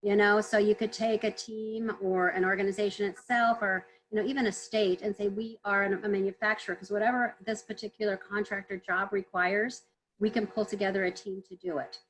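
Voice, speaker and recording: A woman guest on a video call webinar, answering earnestly, clearing her throat often as she is losing her voice. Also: medium pitch, fairly even intonation, fairly quick, poor audio. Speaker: trade consultant guest.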